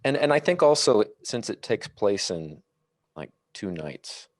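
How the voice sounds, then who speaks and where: A man's voice: on the low side, very animated, a little slow, no echo, studio quality. A man on a podcast panel chatting casually about films. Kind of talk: casual film discussion chat.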